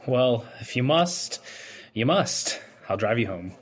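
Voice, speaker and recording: An actor as a young hospital intern in an old-time radio play. He has a medium-pitched voice and a mild, casual, agreeable way of talking. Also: very animated, slow pace, slightly rough, exaggerated voice. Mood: easygoing, agreeable.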